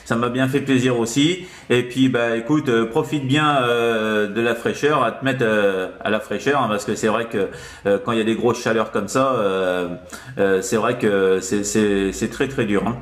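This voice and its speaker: A man's voice: mid-range voice, moderate pace. A man chatting casually as a radio operator, friendly and hesitant, often repeating his words, in an echoey room.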